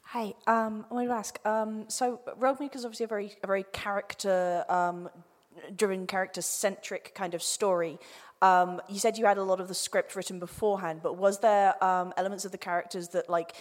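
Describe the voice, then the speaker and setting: A woman's voice: mid-range voice, steady tone, brisk. A woman in the audience hesitantly asking a question at a live Q&A, recorded in an echoey hall.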